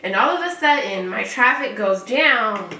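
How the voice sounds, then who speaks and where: A woman's voice: on the high side, animated, normal speed, sharp tone, far from the mic. Stuffy-nosed young woman vlogging in an echoey room. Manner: chatty, frank venting with frequent ums. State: congested, stuffy from a cold. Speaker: beauty vlogger. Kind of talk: casual vlog talk to camera.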